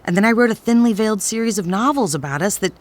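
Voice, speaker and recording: A theatrical female romance novelist , acted by a woman in a comic audio drama. Her mid-pitched voice rises and falls widely. She speaks in florid, dramatic phrases with the wounded pride of a divorcing writer. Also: expressive, fast, natural voice. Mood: downcast, self-pitying. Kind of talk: audio drama comedy acting.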